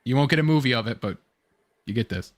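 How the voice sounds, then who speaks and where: A young adult man hosting a casual online fan discussion, talking in a rambling, halting way with fragmented phrasing. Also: mid-range voice, animated. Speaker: online show host. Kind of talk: casual fan commentary, reading chat comments.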